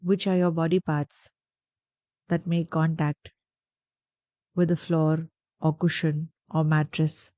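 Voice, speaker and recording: Low, mellow woman guiding meditation. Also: level tone, no room sound. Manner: gentle, deliberate, soothing phrasing with pauses. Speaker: meditation guide. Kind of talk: guided meditation narration.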